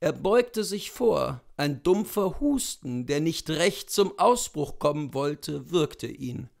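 High-voiced man narrating literature, unhurried. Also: lively intonation, gravelly, a little mellow. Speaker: literature reader. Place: small indoor room with bookshelves.

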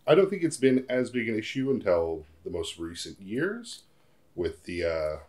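Deep-voiced American man in his thirties. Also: very animated, moderate pace, darkish tone. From Portugal and United States. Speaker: interviewee. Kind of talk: reflective personal interview. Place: indoor living room, seated in armchair.

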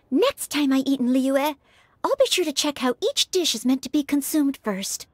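Anime game maid-knight: young, very high and lilting, courteous, earnest, easily anxious. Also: female voice, expressive, unhurried, slight background noise. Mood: embarrassed. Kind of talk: anime-style video game dialogue.

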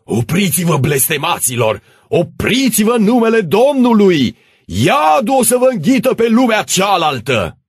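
Storybook role: village priest acted by a male reader, frantic and condemning, high raised shout, commanding. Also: big pitch swings, breathy edge, no echo, studio quality, exaggerated voice. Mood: desperate outrage. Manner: loud, preaching, desperate cries. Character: outraged village priest, cursing and commanding a mob.

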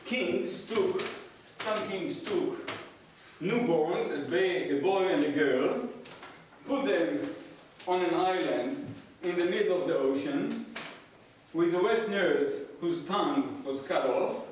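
A man in his sixties with an Israeli accent lectures in a very echoey room, heard from well away. His voice sits fairly high, and as a native Hebrew speaker he delivers his material with wry, deadpan humor. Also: slow pace, recorded from a distance. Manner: wry, joking asides in a deadpan way. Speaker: professor, guest lecturer. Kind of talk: public lecture. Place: small lecture room with seated audience, indoors. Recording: echoey room from afar, noisy background.

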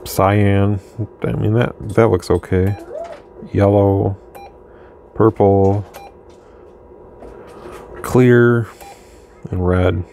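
A man's voice: on the low side, very slow, vocal fry, pauses often, slight background noise. A man casually demonstrating a radio at his workbench, rambling a little as he adjusts the gear. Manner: relaxed, rambling, talks while adjusting gear. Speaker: radio reviewer. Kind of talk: casual product demo. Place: workbench with radio equipment, indoors.

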